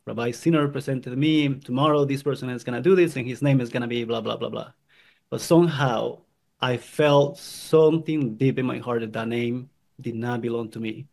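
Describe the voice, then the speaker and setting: Man from Guatemala, gravelly, earnest and halting personal testimony. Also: medium pitch, a little mellow. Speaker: convert sharing his story.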